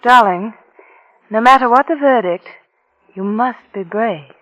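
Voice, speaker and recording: An actress playing a scheming, sweetly devoted wife in 1940s radio mystery style, with sugary politeness over a cold edge. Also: female voice, a little high, measured pace, fairly bright tone. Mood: falsely cheerful. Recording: noisy vintage 1940s radio recording.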